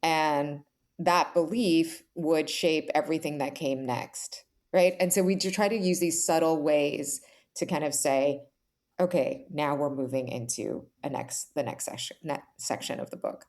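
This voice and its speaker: A woman guest author talking candidly in a conversational interview, telling her story in an animated way with lots of "like" and "right?". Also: low-pitched, a little slow. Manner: animated storyteller, acts out quoted thoughts, says "like" and "right?" often; candid, self-described intense.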